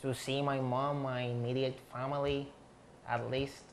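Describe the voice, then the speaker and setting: Slow-spoken young Puerto Rican man. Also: mid-range voice, flat delivery, a little hiss or hum. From Puerto Rico. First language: Spanish. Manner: slow, searching for words.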